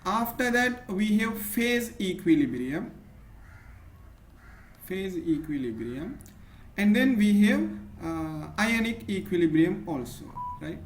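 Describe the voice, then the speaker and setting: Sri Lankan tutor in an echoey room. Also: male voice, sits high, very animated, slow pace. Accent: Sri Lankan English. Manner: patient, checks in with "right?" often. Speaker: chemistry tutor. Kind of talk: one-on-one tutoring. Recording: echoey room, faint noise.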